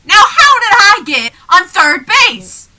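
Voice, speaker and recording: A woman's voice: very high-pitched, big pitch swings, slow pace, bright, forceful tone, full character voice, rough recording. A young woman in a student radio play, playing a confused, exasperated man in a comedy double act with insistent questions. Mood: exasperated, loud. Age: young adult. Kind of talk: comic double-act stage patter.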